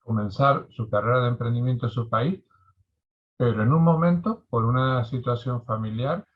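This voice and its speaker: A man hosting a conversational interview podcast over an online call, speaking courteously with deliberate pauses. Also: mid-range voice, muffled recording. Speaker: podcast host. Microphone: remote online call.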